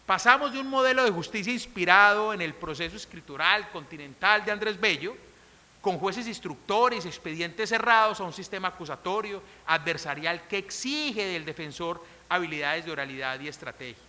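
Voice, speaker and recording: Colombian woman speaker, middle-aged, firm emphatic lecture delivery, piercing bright tone, echoing conference hall. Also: on the low side, lively intonation, normal speed. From Colombia, coffee region. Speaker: conference speaker on criminal law. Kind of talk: formal academic lecture, partly read from a text.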